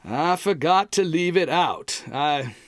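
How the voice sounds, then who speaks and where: Man playing a slow, folksy bumbling radio-comedy husband. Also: a little high, expressive, very noisy. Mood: flustered. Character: Buddy, bumbling, stubborn husband in a domestic comedy. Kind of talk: old-time radio comedy acting.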